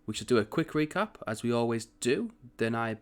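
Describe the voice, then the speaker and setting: Bloke talking through his strategy game at home, croaky, rambly, mid pitch, lots of self-corrections. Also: big pitch swings, fairly quick. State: croaky morning voice. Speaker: gaming channel host. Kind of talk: casual strategy game commentary.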